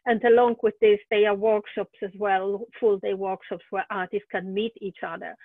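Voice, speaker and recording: A woman's voice: mid-range voice, steady tone, normal speed, no room sound. A woman host on a video call, describing events steadily with hesitations. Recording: tinny, phone-like video call audio.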